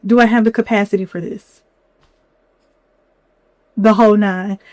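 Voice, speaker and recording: A young woman hosting a solo podcast, a little hoarse from a cold, talking candidly with lots of "you know". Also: mid-range voice, fairly even intonation, measured pace, a little mellow, no echo. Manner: candid, chatty, many fillers and "you know". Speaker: podcast host. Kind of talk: reflective solo podcast talk.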